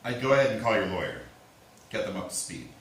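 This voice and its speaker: A man acting in a staged reading as a steady, apologetic small-town lawman, speaking in a measured, reassuring way. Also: on the low side. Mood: measured, uneasy. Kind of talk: staged play reading, naturalistic. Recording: echoey room, distant mic, faint hiss.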